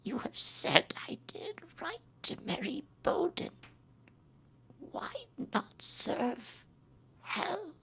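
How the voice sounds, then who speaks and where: An actress playing a frail, dying old Norse mother in a fantasy radio drama, pleading slowly in a feeble, creaky voice. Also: high-pitched, very animated, bright, forceful tone, a little hiss or hum, heavily acted, rough recording. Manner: slow, pleading.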